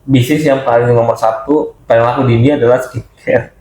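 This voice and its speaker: A man hosting a casual podcast conversation, relaxed and chatty, with short hums of agreement and easy laughs. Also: medium pitch, a little fast, a little hiss or hum. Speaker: podcast host. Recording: roomy echo.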